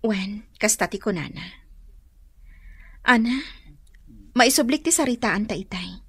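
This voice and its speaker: A woman's voice: mid-range voice, expressive, measured pace, a bit bright, husky, dry recording, studio quality, full character voice. An actress playing a curious, spirited young woman, the boss's daughter, in a radio drama. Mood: curious, insistent. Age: young adult.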